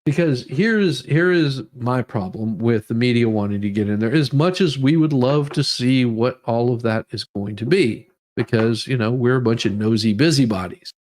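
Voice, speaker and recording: Male streamer, medium pitch, reads documents aloud with loose, informal, repetitive asides. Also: moderate pace, hardly any pauses, darkish tone. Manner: informal, rambling asides, repeats words. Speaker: livestream host. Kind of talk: dramatic reading of legal documents with casual commentary.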